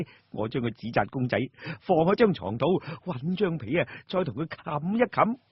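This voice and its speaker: A man acts out a lazy young Cantonese schemer for a radio drama. He is sly and pleased with himself, with swooping pitch, a husky edge and a dry close recording. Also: sing-song, normal speed, dry recording, muffled recording, heavily acted. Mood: smug scheming. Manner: sly, chuckling, boastful. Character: cunning, lazy, scheming young wastrel man, self-satisfied.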